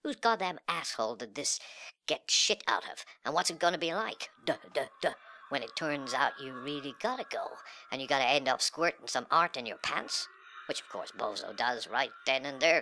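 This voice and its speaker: A man performing a dramatic monologue as a sullen, cocky, nihilistic young slacker, with breathy, slangy, sneering delivery that swings between muttering and outbursts. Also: medium pitch, sharp tone, a little hiss or hum. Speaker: reader of a literary monologue. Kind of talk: dramatic first-person monologue in slangy speech.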